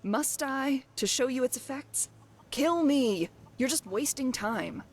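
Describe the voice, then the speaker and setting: A woman's voice: high voice, animated, slow, a little hiss or hum. A woman playing a brash, wry undying thief and adventurer as a companion in a fantasy video game, speaking theatrically. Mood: insistent goading. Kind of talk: voiced game dialogue.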